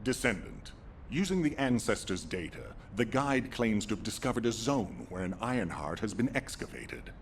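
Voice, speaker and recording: Actor as deep-voiced, stern male commander. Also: lively intonation, slight background noise, natural voice. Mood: businesslike, firm. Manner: firm, deliberate, authoritative. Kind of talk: scripted game cutscene acting.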